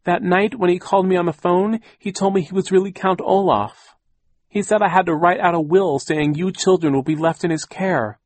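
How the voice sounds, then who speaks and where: A man's voice: on the high side, expressive, moderate pace, darkish tone, dry recording, pitched above the performer's own voice. A man voicing a fussy, fearful older aunt in an audiobook, pedantic and whining. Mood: whining, frightened. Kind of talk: audiobook character voice.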